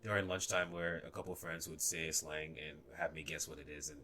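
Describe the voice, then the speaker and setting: A young man from Ghana, a native Ga speaker, with a very low, bright and forceful voice and a slight Ghanaian accent. He talks hesitantly in a casual podcast chat, full of fillers and restarts. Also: fairly even intonation, quick pace, slight background noise. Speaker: guest.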